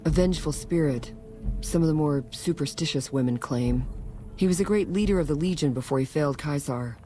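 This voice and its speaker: A woman's voice: low-pitched, fairly quick, warm tone, soft background music, natural voice. A woman playing a guarded, weary slave in a video game. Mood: wary. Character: wary, resigned enslaved woman of a brutal legion. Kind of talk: video game dialogue acting.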